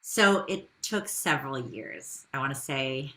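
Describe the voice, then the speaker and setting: A woman's voice: rumbling low, animated, measured pace, sharp tone. A woman speaking as a business founder in an interview, telling her story in a conversational way with rhetorical questions.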